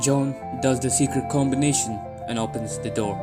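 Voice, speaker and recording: A man's voice: medium pitch, fairly even intonation, normal speed. A man reading a scripted story as its narrator. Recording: background music under the voice. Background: music bed.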